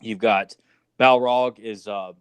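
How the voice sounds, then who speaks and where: A man co-hosting a podcast, chatting casually and swearing now and then like an animated fan. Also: fairly low pitch, slow pace, clean tone, no room sound. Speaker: podcast co-host. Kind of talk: casual chat about movies.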